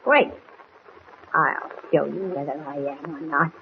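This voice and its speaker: A woman's voice: low-pitched, measured pace, vocal fry. An actress playing a half-mad, bitter woman villain in a 1940s radio drama, menacing, mocking and melodramatic. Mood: angry, mocking. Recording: tinny, noisy 1940s radio recording.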